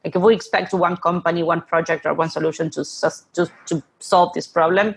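Woman, from Argentina, podcast guest, husky and creaky, casual conversational explaining. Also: lowish voice, steady tone, fairly quick. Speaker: podcast guest, open source funding co-founder.

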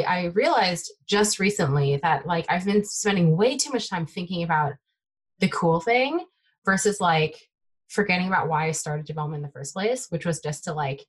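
A young adult woman from the Bay Area, whose first language is Taiwanese, chatting excitedly as a guest developer in an interview. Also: fairly low pitch, low-quality recording. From United States (Bay Area). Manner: excitable, filler-heavy, trailing off. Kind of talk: casual tech interview chat.

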